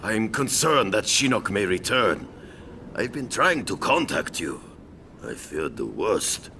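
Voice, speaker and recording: An actor playing a genial, world-weary old warrior master in a video game cinematic. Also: male voice, fairly low pitch, very animated, slow pace, pushed and bright, a little hiss or hum, full character voice. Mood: grave, worried. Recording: music behind the dialogue.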